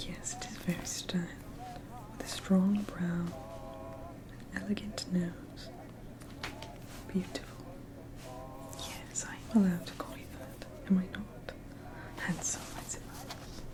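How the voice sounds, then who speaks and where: A tender, teasing sweetheart from the early 1900s acted by a woman in an ASMR roleplay. She speaks mostly in a soft, breathy whisper at a middling pitch. Her manner is gentle and coaxing, with a playfully bossy edge. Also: lightly acted, rough recording. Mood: mock-stern, fond.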